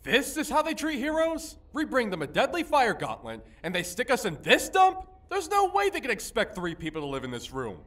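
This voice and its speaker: A man voicing a brash, indignant, burly fighter in a comic dub, emphatic and excitable. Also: on the high side, moderate pace, breathy edge, in a large hall. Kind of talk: scripted cartoon acting.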